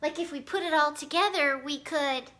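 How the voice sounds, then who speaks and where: Woman as storybook little girl: eager, chirpy, very bright and high. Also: moderate pace. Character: eager, chirpy young girl, a good friend. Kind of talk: children's storybook character voice.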